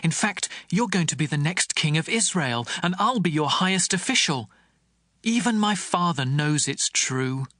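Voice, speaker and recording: Encouraging prince and faithful friend, male actor, earnest, slightly high voice, scripture drama. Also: expressive, normal speed, pushed and bright, dry recording. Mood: reassuring. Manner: reassuring, earnest. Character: loyal, encouraging prince reassuring his friend.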